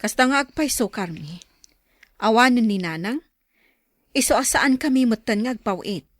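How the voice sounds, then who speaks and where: A woman's voice: on the high side, lively intonation, moderate pace, dry recording, clean studio sound. A woman playing an unsentimental, matter-of-fact older sister in a Filipino drama, with a Filipino accent. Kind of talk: Filipino radio-style drama acting.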